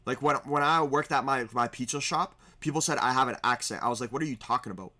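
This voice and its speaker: A man's voice: mid-range voice, quick pace, pushed and bright. A man streaming, talking casually as he reacts to a video, rambling and often restarting his sentences.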